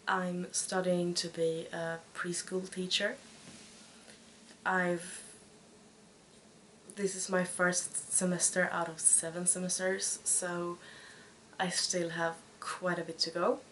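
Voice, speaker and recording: Podcast host, Swedish woman in her twenties, Swedish accent, slow hesitant delivery, lowish voice, faint background noise. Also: little pitch movement, a bit bright, low-quality recording. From Sweden. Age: 20s. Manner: hesitant, searching for words. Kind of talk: casual chat.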